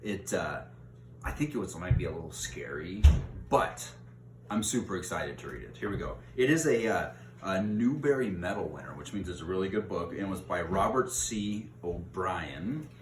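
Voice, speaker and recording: A man's voice: low voice, animated, moderate pace. A man reading a children's novel aloud in an informal way, sometimes stumbling and rereading a phrase. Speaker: read-aloud host. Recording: roomy echo, distant mic, faint noise.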